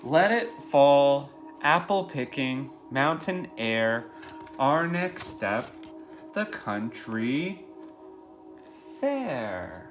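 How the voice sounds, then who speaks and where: A man's voice: medium pitch, very animated, extremely slow, faint music behind. A man in his thirties, a preschool teacher reading a picture book aloud and talking simply and directly to young children. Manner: addresses small children directly with questions and simple explanations. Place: living room at home, indoors.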